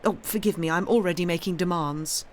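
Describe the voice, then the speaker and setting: A reader playing a halting, effusive young male dreamer. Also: high-pitched, natural voice. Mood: earnest, effusive. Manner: halting, stammering, pleading. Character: young lonely dreamer man, impulsive, timid, effusive. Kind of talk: dramatised audiobook reading.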